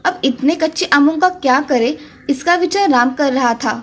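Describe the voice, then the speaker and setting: High-pitched woman narrating a children's story. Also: normal speed. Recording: music under the voice.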